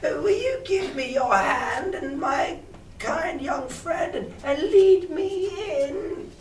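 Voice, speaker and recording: A man's voice: very high-pitched, lively intonation, slow pace, voice pushed up, exaggerated voice. Old blind beggar villain, acted by a man, cruel and wheedling, for a storybook; distant, echoey recording. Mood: wheedling sing-song. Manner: odd sing-song wheedle that turns to hard commands. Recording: roomy, distant mic with background noise.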